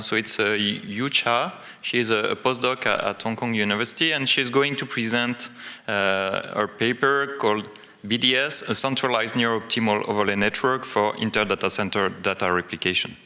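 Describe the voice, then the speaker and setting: A man with a French accent chairs a conference session and introduces the next speaker. His voice is very bright and forceful, ringing with a long reverb in a large hall, and he hesitates and repeats words. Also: mid-range voice.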